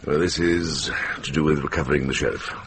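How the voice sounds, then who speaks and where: An actor playing a shrewd, worldly older monk in a radio drama, dry and watchful in manner. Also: male voice, very deep voice, fairly quick, fairly bright tone, slightly rough, heavily acted. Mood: thoughtful. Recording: noisy vintage radio drama recording.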